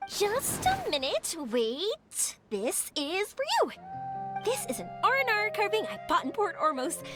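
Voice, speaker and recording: A bubbly young heroine from a video game. She is high-pitched and lively, talkative and encouraging, with a kind, positive temper. Music plays behind her. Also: measured pace, full character voice. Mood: cheerful, encouraging. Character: cheerful, kind, chatty young woman, relentlessly positive.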